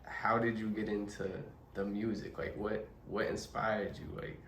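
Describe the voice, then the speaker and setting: Low, gravelly, flat-toned interviewer. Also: male voice, unhurried, a little hiss or hum. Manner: summarizes guest's answers back, leading questions. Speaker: interviewer host. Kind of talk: interview questions. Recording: roomy, distant mic with faint ambience.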